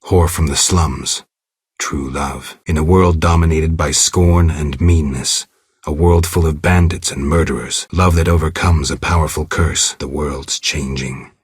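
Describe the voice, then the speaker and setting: A man voicing a stoic, world-weary monster hunter in a video game, with a gravelly, husky voice and terse, deadpan delivery. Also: a bass voice, unhurried, no room sound, clean studio sound, heavily acted. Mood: brooding. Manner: terse, deadpan, clipped phrases. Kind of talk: video game monologue, reflective narration.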